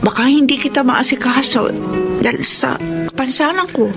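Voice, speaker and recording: A woman's voice: sits high, normal speed, over loud music. A wary, hurt woman. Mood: guarded. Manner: hesitant, guarded. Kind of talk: radio drama acting.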